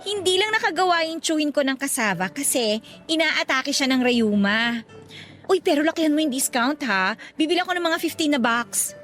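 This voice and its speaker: A high, wildly swooping female voice plays a playful, gossipy market vendor in a radio drama. She teases and bickers with her love rival in animated, colloquial banter. Faint music runs underneath. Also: moderate pace. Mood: sassy, haggling. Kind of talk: Tagalog radio drama acting.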